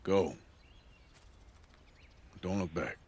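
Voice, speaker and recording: An actor playing a weary, dying outlaw gunslinger with a gruff American Western accent, slow and plain-spoken in a dramatic video game scene. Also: male voice, deep voice, darkish tone, exaggerated voice. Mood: weary, earnest.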